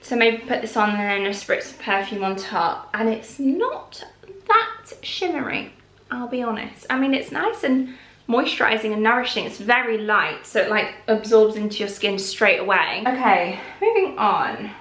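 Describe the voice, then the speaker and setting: Bright-voiced chatty woman in an echoey room. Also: fairly high pitch, normal speed. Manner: chatty and rambling, calm and relaxed. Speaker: vlogger. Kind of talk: casual haul chat.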